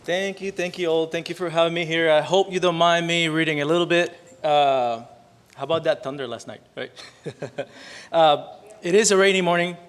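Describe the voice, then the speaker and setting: A man's voice: fairly high pitch, normal speed, a bit bright, smooth. A man in his forties from Puerto Rico with a Puerto Rican Spanish accent, a native Spanish speaker giving chatty opening remarks and often tagging his sentences with "right?". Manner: chatty, frequent "right?" tags, self-deprecating. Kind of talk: casual storytelling speech.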